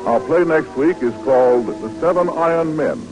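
A male American announcer delivers a formal script in 1940s radio style. His pitch moves widely in a declamatory way, on a vintage broadcast recording with some light music. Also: lively intonation, normal speed, lo-fi sound.

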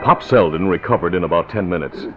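A man's voice: sits low, fast talker, loud music behind the voice, lightly acted. A man giving dramatic scripted narration for a vintage radio crime serial. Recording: muffled, noisy vintage radio recording.

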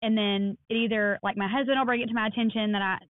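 A woman in her thirties co-hosting a podcast, telling stories in a quick, animated way. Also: mid-range voice, no room sound. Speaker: podcast co-host. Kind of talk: casual chat. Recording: dry, phone-like lo-fi sound.

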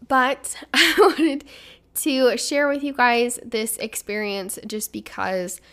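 A woman's voice: a little high, fairly even intonation, slow, fairly bright tone. A woman hosting a casual podcast, chatty and upbeat, her voice a little stuffy as she gets over the flu. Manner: chatty and upbeat, rambling storyteller. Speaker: podcast host.

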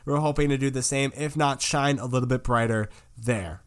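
A man's voice: mid-range voice, normal speed, smooth. A young man hosting a casual sports podcast, talking with a stuffy nose from a cold, rambling with lots of fillers. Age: young adult. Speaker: podcast host.

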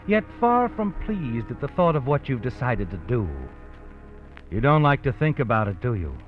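Omniscient, needling suspense narrator, man acting with very animated pitch, classic radio delivery, music behind, muffled vintage sound. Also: moderate pace, lightly acted. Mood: knowing, ominous. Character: knowing, sardonic omniscient narrator taunting the protagonist. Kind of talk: old-time radio suspense narration in second person.

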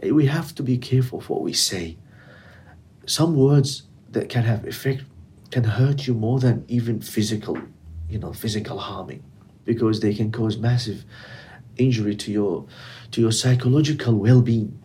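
A man's voice: mid-range voice, moderate pace, dark tone, breathy edge. A middle-aged man whose first language is Arabic, speaking earnestly in a casual interview with non-native English grammar. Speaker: interviewee, community educator.

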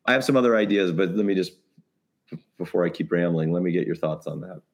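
A man's voice: low voice, fast talker. A man talking casually as a podcast guest, brainstorming ideas in a rambling way with jokey asides. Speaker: podcast guest, creative compliance consultant.

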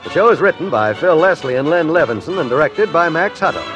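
A man's voice: a little high, animated, quick pace. A man with an American accent announcing and narrating a scripted show in 1950s radio style. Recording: dull old radio broadcast recording. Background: music.

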